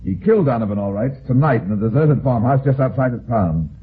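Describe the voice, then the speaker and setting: An actor with an American accent playing a tough, quick-witted heavyset private detective in an old-time radio drama, brisk, commanding and wry. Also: male voice, medium pitch, expressive, breathy edge. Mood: accusing, confident. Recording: muffled, noisy old radio recording.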